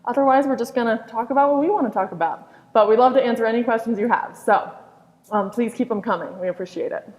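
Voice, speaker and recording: A woman's voice: on the high side, quick pace, darkish tone. Upbeat woman hosting a live panel. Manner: upbeat, encouraging. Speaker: host, marketing director. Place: campus hall. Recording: reverberant hall.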